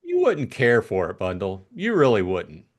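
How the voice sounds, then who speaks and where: Man acting a flustered, animated young English gentleman. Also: fairly low pitch, moderate pace. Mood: embarrassed, reluctant. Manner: protesting, stammering repetition. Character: flustered, indignant young upper-class English gentleman, easily embarrassed. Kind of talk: audiobook character acting.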